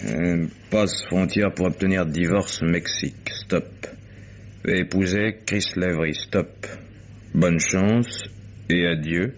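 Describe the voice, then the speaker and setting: An actor playing a wry, unflappable private detective in a French radio mystery, dry and sardonic in manner. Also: male voice, low voice, slow. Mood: businesslike. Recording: dull, noisy vintage radio recording.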